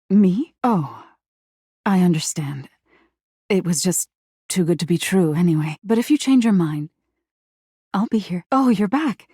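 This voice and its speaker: Husky, low, eerie nightmare spirit. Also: female voice, dark tone, no room sound, clean studio sound. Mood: vulnerable. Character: female living nightmare spirit, eerie, seductive, wounded, menacing. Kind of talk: video game character acting.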